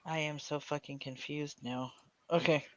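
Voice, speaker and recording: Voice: medium pitch, steady tone, normal speed, no room sound. A stream host chatting casually over a voice call, flustered and sweary. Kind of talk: casual chat. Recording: dull, lo-fi voice chat.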